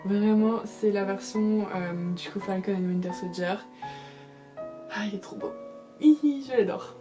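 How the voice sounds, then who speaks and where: A woman's voice: medium pitch, unhurried, music in the background. Chatty young French woman, book vlogger. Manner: chatty, enthusiastic, many fillers and restarts. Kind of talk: casual reading vlog chat.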